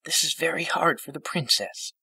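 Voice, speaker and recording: Man putting on a soft, frail old female pony. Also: fairly high pitch, normal speed, slightly rough, no echo, pitched above the performer's own voice, exaggerated voice, rough recording. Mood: gentle. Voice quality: soft, whispery. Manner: tender, comforting.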